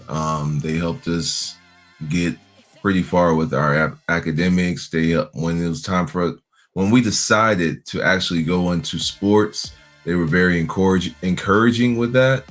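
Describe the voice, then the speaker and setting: A young man from Nigeria, guest in a relaxed interview, easygoing and talking with many fillers. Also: deep voice, moderate pace, faint music behind.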